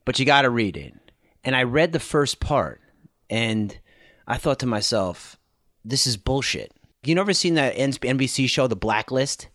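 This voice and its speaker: A man's voice: medium pitch, sing-song, moderate pace, a bit bright, no echo, studio quality. A man hosting a podcast interview, talking in a blunt, joking, incredulous way with plenty of swearing. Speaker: podcast host. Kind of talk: casual profane interview chat.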